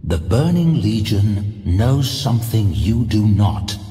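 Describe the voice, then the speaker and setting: A man voicing a determined male archmage who mutters quietly and intensely to himself, with faint music behind. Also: lowish voice, very animated, slow, big-room sound. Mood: resolute.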